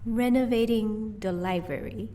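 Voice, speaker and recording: A woman playing a gentle, teasing vampire wife in an audio roleplay. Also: a little high, measured pace, far from the mic, slight background noise, lightly acted. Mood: pleading, casual. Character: sweet, playful female vampire, doting wife.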